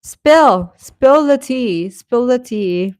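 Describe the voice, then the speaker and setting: A woman's voice: fairly high pitch, animated, extremely slow, a little mellow, dry recording, clean studio sound. A woman hosting a livestream, chatting casually and playfully with her viewers. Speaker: livestream host. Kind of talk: casual chat with live viewers.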